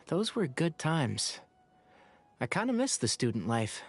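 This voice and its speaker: Lively, gentle young scholar. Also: male voice, mid-range voice, takes it slowly, a little hiss or hum. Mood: nostalgic. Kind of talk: game voice acting.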